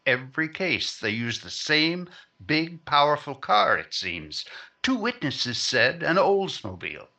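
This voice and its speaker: An actor playing a dogged, urgent newspaper reporter in an old-time radio crime drama. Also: male voice, a little high, very animated, a little slow, pushed and bright, heavily acted. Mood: earnest, urgent.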